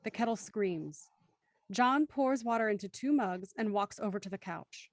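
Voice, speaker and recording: A woman's voice: mid-range voice, lively intonation, moderate pace, no room sound, clean studio sound. A woman reading scripted, present-tense narration for an audio drama as its narrator.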